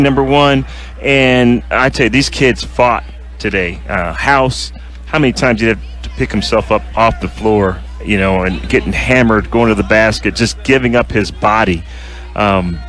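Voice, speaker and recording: A man working as a radio color analyst, breaking down a win with halting, earnest remarks and many restarts. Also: lowish voice, fairly bright tone.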